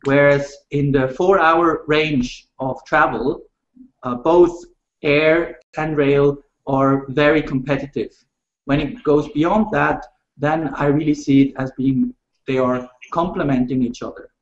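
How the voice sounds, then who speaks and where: A man from Switzerland, a rail industry panelist speaking in a measured, halting way with many fillers. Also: a little high, steady tone. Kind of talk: panel discussion over video call. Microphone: video call. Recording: roomy, muffled video call audio.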